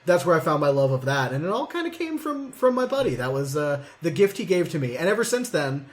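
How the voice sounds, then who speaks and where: A man's voice: on the high side, sing-song, fast. A man co-hosting a podcast in casual, informal conversation with friends. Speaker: podcast co-host. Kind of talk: casual chat.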